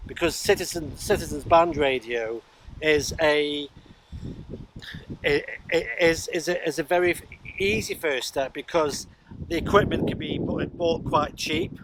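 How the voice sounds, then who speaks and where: A man's voice: a little high, unhurried, fairly bright tone, rough recording. A middle-aged man talking casually and a little ramblingly in an informal vlog, recorded on location with some wind noise. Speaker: amateur radio vlogger. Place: windy high ground, in and around a parked car. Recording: windy outdoor noise, clicks.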